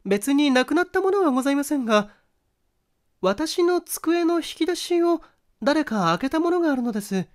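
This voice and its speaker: A man's voice: very high voice, lively intonation, moderate pace, pitched above the performer's own voice. A man voicing a refined, anxious young lady who testifies politely and hesitantly in a mystery audiobook. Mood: uneasy, polite. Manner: polite, hesitant, feminine formal speech.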